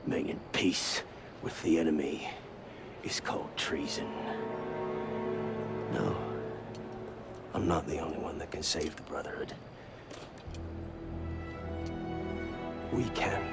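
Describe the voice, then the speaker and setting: An actor playing a bitter, fanatical rogue assassin, intense and scornful, in a video game cutscene. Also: male voice, rumbling low, steady tone, unhurried, pauses often, loud music behind the voice. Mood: hushed, fervent.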